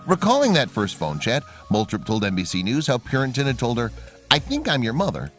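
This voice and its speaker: A man reading a scripted human-interest story in a measured storytelling delivery. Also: mid-range voice, huge pitch swings, a bit bright, soft background music. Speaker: narrator.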